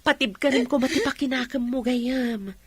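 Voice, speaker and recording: A woman's voice: high-pitched, moderate pace, husky, no room sound. An actress playing a caring young woman and loyal friend in an Ilocano radio drama, with an Ilocano accent. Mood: concerned, tender.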